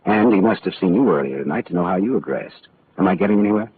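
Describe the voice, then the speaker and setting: A man's voice: low voice, lively intonation, quick pace, a little hiss or hum. An actor playing a tough, wisecracking male private detective who also narrates, in a 1940s radio drama. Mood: wry. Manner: hard-boiled, wry, clipped. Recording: tinny old radio broadcast with faint music.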